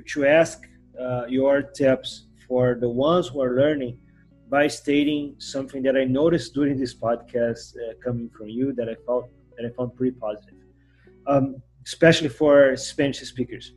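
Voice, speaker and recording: Husky Brazilian man, animated podcast host. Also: normal speed, soft background music, poor audio. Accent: Brazilian Portuguese. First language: Portuguese. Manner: animated, jumps up in pitch and loudness when excited. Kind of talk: casual interview chat.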